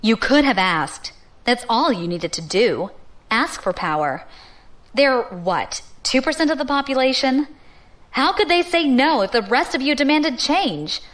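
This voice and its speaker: A woman's voice: high voice, sing-song, unhurried, a bit bright, exaggerated voice. A woman playing a bold, ambitious, defiant young opportunist in an audio drama, assertive and challenging. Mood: scornful, impassioned.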